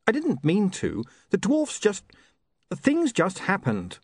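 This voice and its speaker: A man's voice: high voice, huge pitch swings, takes it slowly, a little mellow, slightly rough, no room sound, studio quality, voice pushed up, heavily acted. A man voicing a flustered, guilty young newspaper man with a hesitant, apologetic manner in an audiobook.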